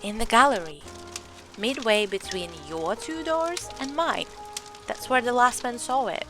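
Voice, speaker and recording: A woman's voice: on the high side, a little slow, pushed and bright, soft background music. A woman acting in an audio drama as an earnest young believer in ghosts, telling her story with dramatic emphasis. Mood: eager, eerie.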